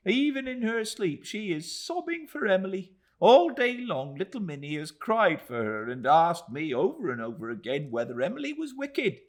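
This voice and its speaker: A male reader puts on an emotional young townswoman from a classic storybook. The voice is pitched far up and swings widely. She exclaims in drawn-out phrases, indignant yet soft-hearted. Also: sing-song. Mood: tearful, pitying. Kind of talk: classic novel audiobook character.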